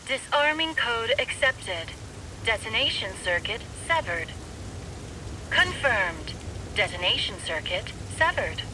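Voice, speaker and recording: A woman's voice: on the high side, big pitch swings, slow pace, noisy background. A woman voicing an impassive automated announcer for a bomb device in a video game, clipped and impersonal.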